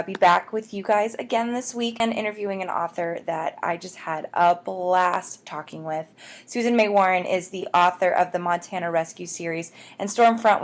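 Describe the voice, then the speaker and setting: A woman hosting a book podcast, talking to listeners in a bubbly, chatty way and calling them guys. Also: lowish voice. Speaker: podcast host. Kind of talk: upbeat podcast intro and promo.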